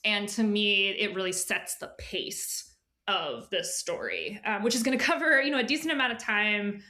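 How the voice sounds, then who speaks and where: A woman's voice: medium pitch, animated, moderate pace, pushed and bright. A woman speaking as an editor and guest in a casual interview, informal, often dropping into asides and imagined quotes.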